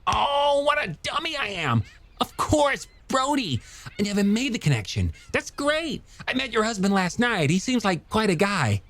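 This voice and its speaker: Animated, higher-voiced eager young man, played by a narrator. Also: moderate pace. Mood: excited, friendly. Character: eager, chatty, self-deprecating younger man in a novel. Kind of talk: audiobook character dialogue.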